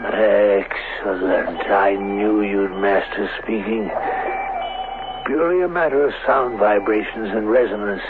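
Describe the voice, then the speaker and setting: An actor playing a proud, obsessive middle-aged scientist in a radio drama, speaking in a deliberate, lecturing and coolly clinical manner. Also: male voice, fairly low pitch, close to an everyday voice. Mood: proud, instructive. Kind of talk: 1970s radio drama acting. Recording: muffled, hissy old radio broadcast.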